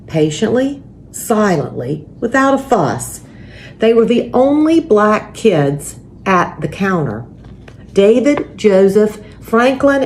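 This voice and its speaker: Unhurried woman reading aloud. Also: mid-range voice. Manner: measured, deliberate, expressive phrasing. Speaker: church story time host and reader. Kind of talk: children's picture book read-aloud with spoken introduction. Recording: faint background noise.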